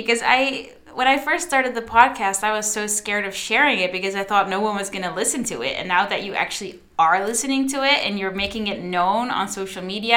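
A woman's voice: fairly high pitch, a bit bright. A young woman from the Netherlands whose first language is Dutch, hosting her own podcast in a chatty, casual way and talking straight to her listeners. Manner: chatty, addresses listeners directly, many fillers. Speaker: podcast host and social media coach. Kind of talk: casual solo podcast monologue.

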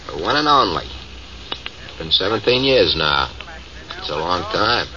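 A man's voice: low voice, sing-song, moderate pace, sharp tone, muffled recording, a little hiss or hum, heavily acted. A man acting a boastful, scheming small-time gangster, brash and smug, in 1940s radio drama style. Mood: swaggering.

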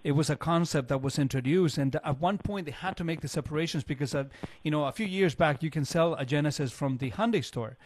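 Higher-voiced male radio host, dry sound. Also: fast talker, no echo. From Chile. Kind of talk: casual radio banter.

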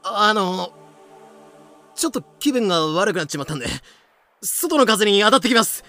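A man voicing an excitable, deferential pirate crewman with slangy speech in a fan-made voice drama. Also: high voice, moderate pace, faint music behind, heavily acted. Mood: uneasy.

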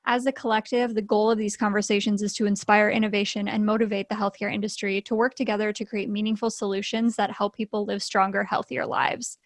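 A woman's voice: medium pitch, level tone, fast talker, hardly any pauses, dry recording, clean studio sound. A woman hosting an interview podcast, friendly and affirming with her guest. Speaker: podcast host.

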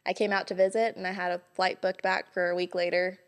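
A woman's voice: lowish voice, flat delivery, fast talker, clear, resonant tone. A young woman from Hawaii, a podcast guest telling her story in a friendly, upbeat way. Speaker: guest, small business owner. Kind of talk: casual interview chat.

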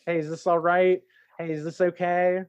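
A man's voice: high voice, flat delivery, moderate pace, smooth, no room sound, lo-fi sound. A man talking in a reflective, conversational way as a podcast guest, encouraging and reassuring as he gives advice. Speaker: podcast guest, actor and tarot reader.

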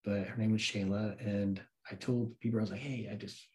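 A man's voice: lowish voice, a little fast. A man with an American accent telling a personal story as an interview guest in a casual, informal way, acting out quoted dialogue. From United States, grew up in Santa Cruz, California. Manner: informal, animated retelling with acted-out quotes. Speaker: interview guest, works in film.

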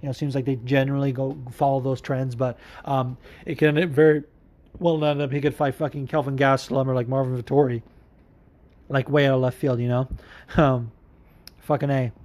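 A man's voice: medium pitch, flat delivery, a little fast, darkish tone. A man hosting a casual podcast, answering listener questions about mixed martial arts in a candid, profane, rambling way. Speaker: podcast host.

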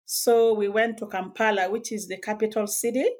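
A woman from Sudan, telling her life story as a guest in a podcast interview, measured and reflective with small hesitations. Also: mid-range voice, fairly even intonation, a little mellow. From South Sudan. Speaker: guest author.

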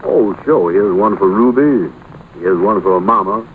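Low-voiced, folksy, genial buddy played by a man. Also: measured pace, lightly acted. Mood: cheerful. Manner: folksy, colloquial. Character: genial, generous buddy in radio comedy. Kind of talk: 1940s radio acting. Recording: noisy 1940s radio broadcast recording.

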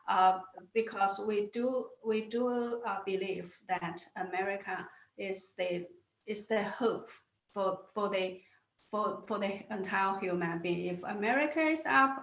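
A woman with a Chinese accent speaks slowly and earnestly, often stopping to restart a phrase. Her voice sits at an ordinary pitch. The recording carries a noticeable room echo. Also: fairly bright tone. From China. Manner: earnest, halting with restarts and repeated words. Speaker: guest. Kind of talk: interview answers.

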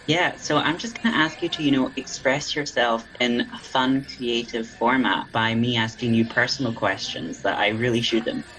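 A host running an improvised comedy interview in a deadpan, teasing way with absurd jokes. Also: medium pitch, moderate pace, hardly any pauses, faint music behind, rough recording.

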